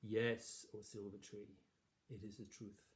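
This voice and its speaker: A solemn magic trout from a fairy tale, as a man puts him on, speaking low and quiet. His voice is soft and grave. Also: little pitch movement, measured pace, lightly acted.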